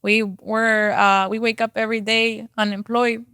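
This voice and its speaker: A woman's voice: a little high, little pitch movement, unhurried, sharp tone, smooth, no room sound, studio quality. A woman from Mexico with a thick Mexican Spanish accent, talking candidly as a real estate agent guest, with fillers and restarts. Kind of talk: casual interview talk.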